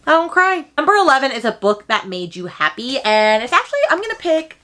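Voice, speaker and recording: A woman's voice: high voice, very animated, brisk, bright, forceful tone. A woman book vlogger chatting casually to camera in a gushing, emphatic, slangy way with dramatic exaggeration. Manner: slangy, informal with frequent "like" and "okay".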